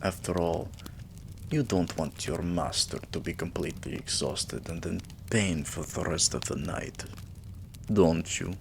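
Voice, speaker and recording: Deep, slow, gravelly vampire king acted by a man. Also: slight background noise. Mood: fond, commanding. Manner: slow, lordly, drawn-out phrasing with sighs and murmurs. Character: regal, possessive, doting male vampire king, weary. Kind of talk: roleplay ASMR, intimate acted monologue.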